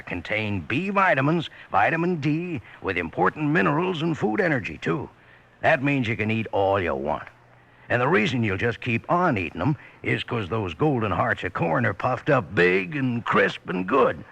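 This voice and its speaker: An actor playing a hearty old cowboy announcer with a Western American accent and gravelly voice, giving a vintage radio pitch. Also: male voice, sing-song, moderate pace, a bit bright, full character voice. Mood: hearty, folksy. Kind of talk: 1950s radio advertising pitch.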